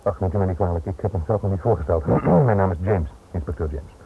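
Low-voiced police inspector, muffled recording. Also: male voice. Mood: polite, guarded. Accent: Dutch. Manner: formal, measured, insistent. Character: guarded police inspector, polite but quietly warning. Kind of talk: radio play acting. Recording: muffled phone-like old radio recording, noisy.

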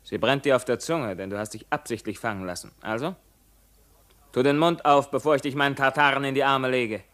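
A man's voice: medium pitch, fairly quick, fairly bright tone, natural voice. An actor playing a proud, defiant, haunted king in a theatrical radio play, declaiming commands with sudden outbursts. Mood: mocking.

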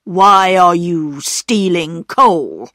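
A woman voicing a stern, accusing male railway station master in a storybook, speaking deliberately. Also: lowish voice, animated, fairly bright tone, dry recording, studio quality, full character voice. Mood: stern questioning. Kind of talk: storybook character voice.

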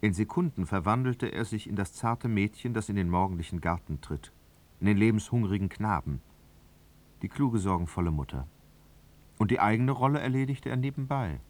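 A man narrating a literary radio drama in a measured, articulate, scripted manner. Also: on the low side, lively intonation, a little mellow.

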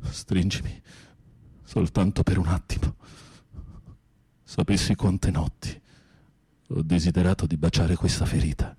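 An actor playing a tormented, fearful, lovesick former teacher in prison in a radio drama, husky and pleading, with a poetic, theatrical delivery. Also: male voice, low voice, fairly even intonation, a little slow, pauses often, dark tone, no room sound, heavily acted. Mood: anguished longing. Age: middle-aged. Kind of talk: intimate radio drama acting.

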